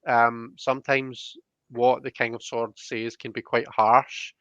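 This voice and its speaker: A man's voice: mid-range voice, flat delivery, slow, a bit bright, no echo. Man explaining tarot, slightly muffled dry sound. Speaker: tarot reader. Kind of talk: conversational tarot card reading.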